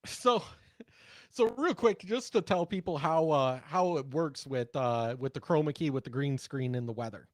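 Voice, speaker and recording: Higher-voiced man chatting on talk radio. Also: moderate pace, no echo, studio quality. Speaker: talk radio co-host, former TV news worker. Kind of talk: casual chat and storytelling.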